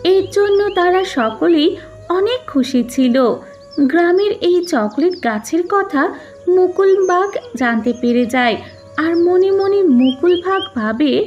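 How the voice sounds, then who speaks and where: A woman's voice: extremely high pitch, animated, slow pace, a little mellow, muffled recording, soft background music. A woman giving scripted narration of a cartoon fairy tale as the storyteller.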